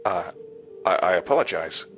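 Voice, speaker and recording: An eerily eager hotel manager in a horror audio drama, devoted to serving his master. His voice sits fairly low with a bright, pressing edge. He speaks with formal butler-like deference over faint music. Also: expressive, normal speed. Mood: uncertain, apologetic.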